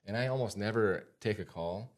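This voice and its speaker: A man with a low-pitched American voice hosts a casual podcast. He speaks like an advisor chatting with listeners, repeating key points for emphasis in an informal way. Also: normal speed. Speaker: podcast host and financial advisor.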